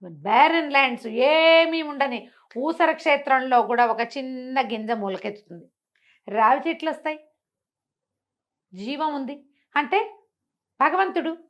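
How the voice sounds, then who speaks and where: A woman's voice: high-pitched, animated, a little slow, long pauses, muffled recording. A woman storyteller. She narrates a moral fable expressively, acting out the exchanges and putting questions to her listener as she explains.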